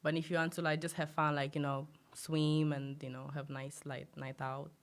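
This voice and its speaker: A woman's voice: deep voice, flat delivery, moderate pace. A young woman, born in Greece and raised in Romania, chatting easily as a guest with lots of fillers and laughter. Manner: easygoing, many fillers, laughs often. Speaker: guest musician. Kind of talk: casual interview chat.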